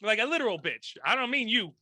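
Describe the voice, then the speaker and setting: Bright, lively high-voiced man joking crudely. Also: fast talker. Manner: crude, joking banter, laughing often. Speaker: podcast host. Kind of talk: casual chat.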